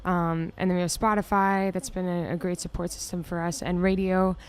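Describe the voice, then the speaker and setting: A young woman band singer with a raspy, low voice, answering questions in a friendly, chatty way. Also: little pitch movement, fairly quick, a little hiss or hum. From Virginia, US.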